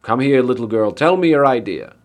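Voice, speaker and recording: A man voicing a commanding village head in a children's story, loud and officious, repeating his orders. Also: mid-range voice, animated, a little fast, natural voice. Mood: pleased. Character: blustery, commanding male village Burgermeister.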